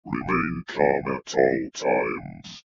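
A man's voice: rumbling low, extremely slow, gravelly, slightly rough, dry recording, heavily acted. A man voicing a sinister presence in a scripted horror broadcast, giving eerie reassurance. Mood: eerie calm. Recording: muffled, faintly noisy lo-fi audio.

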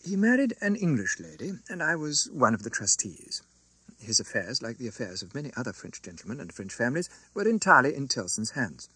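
Man acting a fussy, animated elderly banker. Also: mid-range voice, fairly quick, slightly rough, dry recording, heavily acted, rough recording. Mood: earnest, agitated. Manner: hesitant, stammering, formal and businesslike. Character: fussy, flustered, kindly elderly English male banker. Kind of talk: dramatised audiobook reading.

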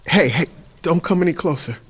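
An actor playing a skeptical, jumpy young man in a radio drama, suspicious and halting. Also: a little high, lively intonation, breathy edge. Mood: alarmed. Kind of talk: post-apocalyptic radio drama acting.

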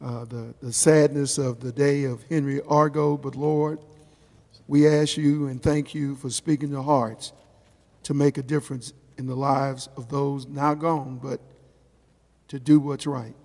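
A man's voice: mid-range voice, level tone, unhurried, darkish tone. Man praying aloud in a reverberant hall. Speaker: council member leading opening prayer. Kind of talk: public prayer. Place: indoor council chamber.

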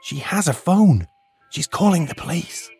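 An actor playing a nervous teacher who fakes a posh British accent, stammering and overly polite. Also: male voice, on the high side, animated, a little slow, vocal fry, a little mellow, soft background music. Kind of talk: audio drama acting.

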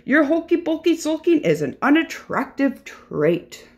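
Lady doing a bossy straight-talking octopus for kids story, high voice, telling someone off. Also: sing-song, a little slow, a little mellow, full character voice. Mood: blunt scolding. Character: blunt, scolding male octopus friend. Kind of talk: children's picture-book character voice.

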